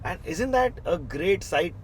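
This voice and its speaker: A male host with a fairly high voice, upbeat and chatty, turns to speak straight to the viewers. Faint background noise sits under his voice. His tone stays easygoing throughout. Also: big pitch swings, a little slow. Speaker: show host. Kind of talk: casual interview hosting.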